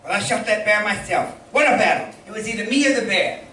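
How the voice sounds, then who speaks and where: A cheeky, boastful sidekick in an old-time radio comedy routine, acted out by a stage performer. The punchlines are delivered with dim confidence. The voice is distant and roomy, with clear noise behind it. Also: medium pitch, animated, quick pace, sharp tone. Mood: joking boastful. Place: community theatre stage.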